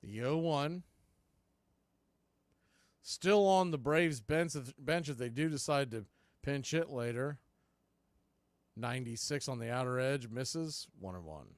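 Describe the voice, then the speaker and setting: Clear-voiced male baseball play-by-play announcer. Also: medium pitch, a little slow, pauses often, no room sound. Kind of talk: live baseball commentary.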